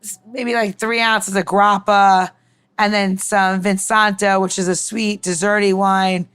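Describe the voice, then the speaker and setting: A woman's voice: mid-range voice, flat delivery, a little slow, a bit bright, smooth. A woman mixologist giving a casual, informal demonstration, chatting and explaining as she goes. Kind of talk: casual cooking-style demonstration.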